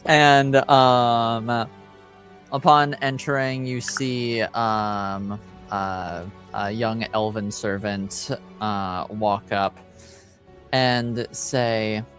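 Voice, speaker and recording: A man narrates a tabletop roleplaying game as game master. His voice is fairly low and his delivery very slow, with frequent pauses. Faint music plays behind him. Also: fairly even intonation, a bit bright. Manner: halting, pausing often.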